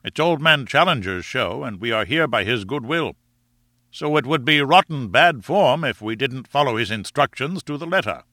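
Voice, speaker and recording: Man playing a crisp, breezy gentleman adventurer. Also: mid-range voice, lively intonation, moderate pace, no echo, clean studio sound. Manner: jaunty upper-class slang, unflappable. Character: breezy, sporting aristocratic gentleman adventurer, male.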